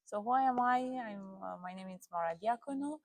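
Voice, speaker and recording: A woman's voice: mid-range voice, lively intonation, fairly quick. A woman from Romania, a guest in an interview, talking animatedly and often rephrasing as she goes. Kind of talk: casual interview conversation.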